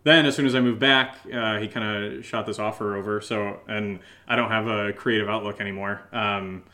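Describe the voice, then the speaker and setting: American male co-host, lowish pitch, casual unscripted chat, quick to laugh. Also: fairly even intonation, sharp tone. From Connecticut, United States. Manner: laughs easily, loose and joking. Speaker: podcast co-host and audio drama maker.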